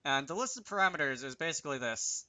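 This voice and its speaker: A man giving an unscripted programming tutorial, thinking aloud in a hesitant, halting way as he works. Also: fairly high pitch, lively intonation, bright, forceful tone, no room sound. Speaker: game developer presenting a tutorial series.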